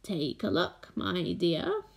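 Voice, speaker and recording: A tiny, bald, whiskered old man, put on by a woman reading aloud speaks slowly in a creaky, hushed voice. He coaxes in drawn-out whispers, a sly and eerie storybook figure. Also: on the low side, wildly swooping pitch, exaggerated voice. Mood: creepy coaxing. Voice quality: slow and creaky. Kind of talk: audiobook character voice.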